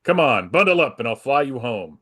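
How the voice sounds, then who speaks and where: A man's voice: medium pitch, big pitch swings, a little fast, fairly bright tone, breathy edge. A man voicing an earnest male superhero in a dramatic comic book reading. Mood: reassuring.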